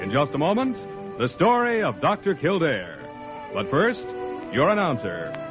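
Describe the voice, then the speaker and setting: A man's voice: a little high, huge pitch swings, measured pace. A man with an American accent announcing a vintage radio drama in a dramatic, declamatory style. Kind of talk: 1940s-50s radio announcing. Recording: dull old radio recording with music.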